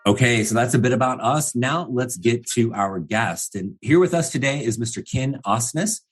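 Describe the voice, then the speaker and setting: A man's voice: medium pitch, animated, moderate pace, husky. A man hosting a business podcast interview, chatty and casual, with many fillers and restarts. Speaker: podcast host.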